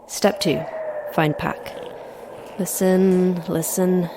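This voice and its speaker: A woman's voice: fairly low pitch, level tone, extremely slow, noisy background. An actress playing a tough, wry, injured woman scientist in an audio drama, muttering dryly to herself. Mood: strained, grim. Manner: dry, sardonic, muttering to herself. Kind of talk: audio drama acting, intimate monologue.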